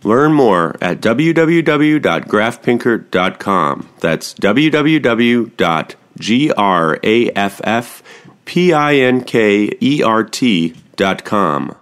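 A man hosting a podcast, reading the intro and a sponsor ad clearly and steadily, then asking his guest questions. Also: fairly low pitch, slow pace. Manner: clear, steady read; spells out web addresses. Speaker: podcast host. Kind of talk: podcast intro, ad read and interview.

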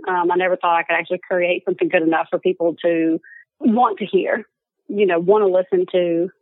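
A woman's voice: lowish voice, steady tone, a little fast, no room sound. A young woman with an Alabama accent, a guest chatting casually and laughing often. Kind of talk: casual chat. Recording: tinny phone line, dry.

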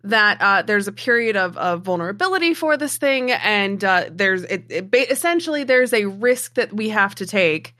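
Bright-voiced woman playing a tabletop game. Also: medium pitch, animated, normal speed, hardly any pauses. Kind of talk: casual improvised in-character talk.

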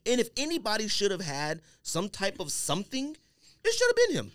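A man hosting a podcast in casual banter, talking in an animated, slangy, emphatic way. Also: high-pitched, brisk, no room sound, clean studio sound. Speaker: podcast host.

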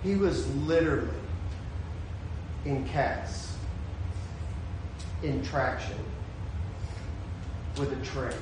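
A man preaching a sermon, his voice swinging from shouted peaks to a hushed storytelling tone. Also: medium pitch, slow pace, pauses often, echoey room, background noise. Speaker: pastor.

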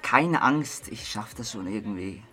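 A man's voice: lowish voice, animated, measured pace, a bit bright. An actor with a Swiss German accent playing a drunk, remorseful young man in a radio drama. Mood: tipsy, complaining. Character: drunk, remorseful young man confiding in his best friend.

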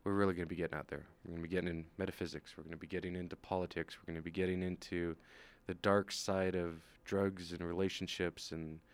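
Radio host guy, really low voice, teasing, laughs a lot. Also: flat delivery, fast talker, few pauses. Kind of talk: casual chat.

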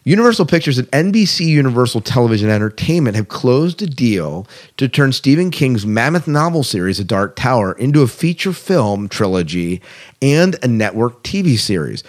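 A man hosting a podcast in a casual style, chatting and reading out news with conversational asides. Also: medium pitch. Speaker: podcast host.

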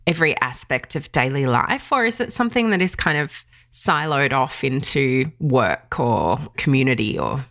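A woman hosting a podcast, reading a measured introduction and asking questions. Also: extremely low pitch, very animated, a bit bright, no room sound, studio quality. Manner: measured, reads a scripted introduction. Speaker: podcast host.

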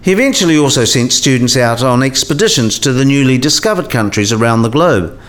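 A man's voice: mid-range voice, lively intonation, brisk, a bit bright, natural voice. A man playing a learned professor in a radio play, explaining science history in a precise, didactic way. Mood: measured, instructive.

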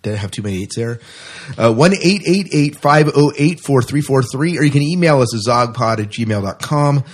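A man's voice: medium pitch, animated, moderate pace, husky. A man hosting a podcast in casual banter, chatty, jokey and enthusiastic, often repeating himself for emphasis. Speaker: podcast host.

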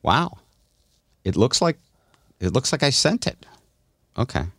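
A man's voice: medium pitch, big pitch swings, measured pace, fairly bright tone, dry recording, studio quality. A middle-aged or older man hosting a casual comedic podcast, telling stories in a teasing, self-deprecating way. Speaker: radio show host.

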